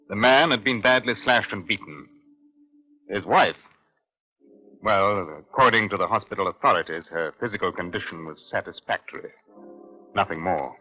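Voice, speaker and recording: An actor playing a courteous, composed British police inspector in a 1950s radio crime drama, measured and firm. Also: male voice, on the low side. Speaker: lead actor and narrator. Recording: muffled old radio broadcast.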